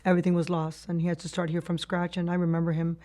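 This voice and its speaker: A woman's voice: on the low side, monotone, fast talker, mellow. Cuban-born woman recalling her past. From Cuba. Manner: reflective storytelling with frequent restarts and laughs. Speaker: interviewee. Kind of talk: oral history interview.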